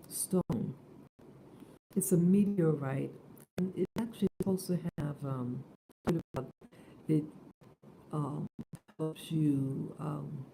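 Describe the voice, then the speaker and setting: A woman just awake, hoarse and soft-spoken, talking hesitantly in a casual morning vlog. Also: sits low, a little hiss or hum, rough recording. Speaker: vlogger.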